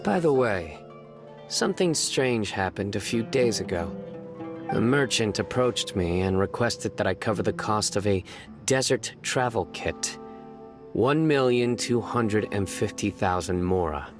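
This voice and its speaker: A man voicing a stern, dutiful young lawman in an anime game, speaking in a serious, measured, deadpan way. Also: fairly low pitch. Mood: deadpan suspicion. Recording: music clearly behind the voice.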